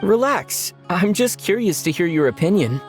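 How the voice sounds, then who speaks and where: Casting: actress for a quietly probing game friend, female, somewhat low, expressive intonation, relaxed and inquisitive. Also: moderate pace, soft background music, lightly acted. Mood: calm, curious. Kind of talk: anime game voice acting.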